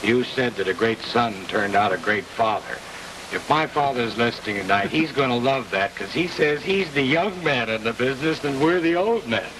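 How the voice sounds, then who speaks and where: American exec in his 40s, lowish voice, measured, away from the mic, noisy old tv recording. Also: lively intonation, far from the mic. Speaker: company president being interviewed. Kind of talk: television interview answers.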